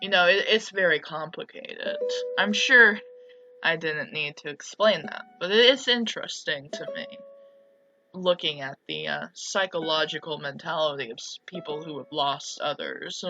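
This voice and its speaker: A woman's voice: low-pitched, very animated, normal speed, pushed and bright. A woman narrating a visual novel aloud, reading dramatically and breaking off into casual side comments. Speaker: video game streamer and narrator. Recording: dull lo-fi mic with music behind.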